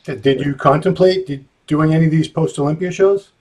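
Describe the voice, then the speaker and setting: A man's voice: on the high side, fairly quick, a little mellow. A man hosting an interview, chatty and playful, joking with his guest. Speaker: interview host. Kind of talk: casual interview chat.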